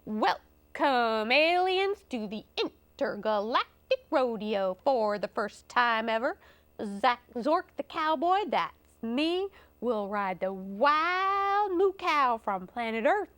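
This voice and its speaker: A woman voicing a boastful, commanding alien space cowboy from a children's storybook, announcing loudly and theatrically. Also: fairly high pitch, sing-song, slow pace, exaggerated voice. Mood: showy, boastful.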